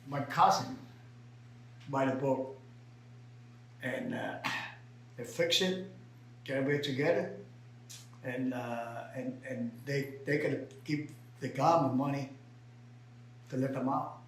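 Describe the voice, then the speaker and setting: An older man from Vietnam with a Vietnamese accent tells his life story in halting, broken English full of repeats, sometimes rising to emphatic outbursts. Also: mid-range voice, roomy sound, a little hiss or hum, poor audio. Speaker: interviewee. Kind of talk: personal storytelling.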